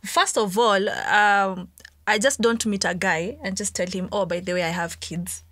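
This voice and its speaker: Candid woman, young adult, assured podcast guest, mid pitch, dry close sound. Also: steady tone, a little slow, a bit bright, no room sound. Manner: candid, assured, laughs often. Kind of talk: casual chat.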